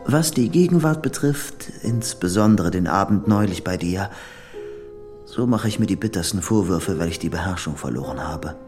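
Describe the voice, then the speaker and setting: A man's voice: deep voice, animated, moderate pace, music in the background. An actor in a German radio drama playing an obsessive, lovesick man who is guarded, bitter and easily angered, with an intense, brooding manner. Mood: yearning, brooding.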